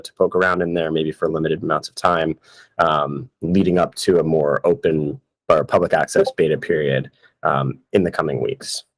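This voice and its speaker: A man's voice: low-pitched, no room sound. A man explaining his work as product owner in an upbeat, casual way. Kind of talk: casual chat.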